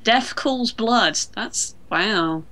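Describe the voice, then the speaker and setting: A woman's voice: on the high side, expressive, very slow, sharp tone. A woman co-hosting a podcast, chatting casually and joking along with the others. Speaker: podcast co-host. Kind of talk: casual chat, joking banter.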